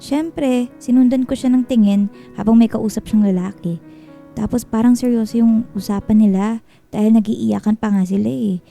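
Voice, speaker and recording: Blunt gossipy young Filipina, highish mellow voice, bossy, radio drama, roomy sound. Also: female voice, fairly even intonation, normal speed, gravelly, faint music behind.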